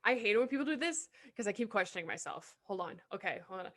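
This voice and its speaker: A woman's voice: medium pitch, lively intonation, fast talker, fairly bright tone. A young woman co-hosting a casual podcast, laid-back and teasing, swearing casually. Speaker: podcast co-host. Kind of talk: casual chat.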